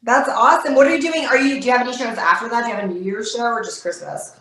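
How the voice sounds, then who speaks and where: A woman's voice: fairly high pitch, very fast, fairly bright tone. A woman hosting a casual interview show, gushing and enthusiastic with her guest. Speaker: host. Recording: echoey room.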